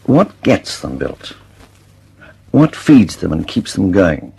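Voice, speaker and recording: A man's voice: low voice, big pitch swings, slow pace, gravelly, mellow, breathy edge, heavily acted. An actor playing an earnest, preoccupied aircraft designer in a mid-century radio drama, musing hesitantly and then pressing his point methodically. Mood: earnest, insistent. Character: earnest, preoccupied male British aircraft designer, thoughtful and dogged.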